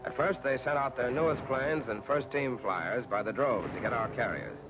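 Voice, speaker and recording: A man's voice: medium pitch, normal speed, over music. An American man narrating a scripted 1940s wartime newsreel in a punchy, colloquial, patriotic style. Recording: noisy 1940s film soundtrack with artillery.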